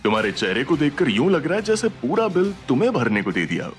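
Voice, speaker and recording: An actor playing a smug, taunting rich uncle in an audio drama. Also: male voice, a little high, sing-song, quick pace, faint music behind. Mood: mocking. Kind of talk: Hindi audio drama acting.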